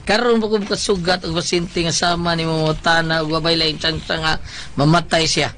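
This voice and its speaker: Frank, wisecracking Cebuano doctor. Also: male voice, fairly high pitch, little pitch movement, fairly quick, pushed and bright, a little hiss or hum. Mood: sly. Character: frank, wisecracking hospital doctor humoring a patient. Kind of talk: comic Visayan radio drama acting.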